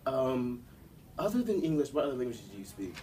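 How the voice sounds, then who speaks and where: A man's voice: medium pitch, very animated, warm tone. Young male interviewer, distant mic. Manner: casual, quick questions with filler "like". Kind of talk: casual interview questions. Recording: distant mic, faint background noise.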